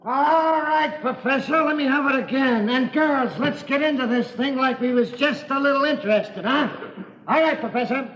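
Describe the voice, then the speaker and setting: A man's voice: very high-pitched, normal speed. An actor playing a fussy, bossy, sarcastic dance-hall proprietor drilling his dancers in a 1940s radio drama. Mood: exasperated. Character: exasperated, fussy dance-hall boss drilling chorus girls. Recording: muffled old radio, roomy echo, music.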